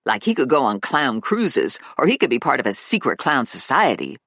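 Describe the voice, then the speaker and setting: A woman's voice: very deep voice, very animated, normal speed, fairly bright tone, no echo, clean studio sound, exaggerated voice. A woman voicing a brash, bold woman who talks bluntly and dramatically. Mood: dramatic. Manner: blunt and brassy.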